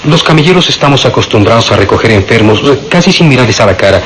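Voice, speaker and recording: An actor playing a polite, unsure hospital orderly answering in a radio drama, hesitant and deferential. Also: male voice, medium pitch, lively intonation, fast, fairly bright tone, slight background noise, natural voice, rough recording. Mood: hesitant, apologetic.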